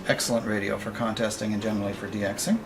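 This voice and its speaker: A man gives an informal explanatory walkthrough of a radio station, speaking in a halting, matter-of-fact way. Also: fairly low pitch, flat delivery, crowd noise. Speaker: radio club member giving a station tour. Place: indoor amateur radio station room. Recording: roomy, noisy recording, distant microphone.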